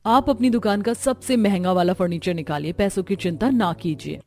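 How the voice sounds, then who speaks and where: A woman's voice: mid-range voice, fairly quick, warm tone, lightly acted. A woman voicing a boastful, haughty mother in a cartoon story, showy and imperious. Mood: boastful, bossy. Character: boastful, haughty mother, scornful of her stepdaughter.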